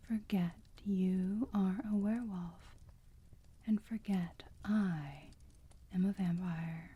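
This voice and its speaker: A woman playing a motherly, teasing vampire who soothes a wounded enemy in a slow, breathy whisper, in an ASMR roleplay. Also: mid-range voice, warm tone. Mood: gentle, earnest. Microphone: close microphone.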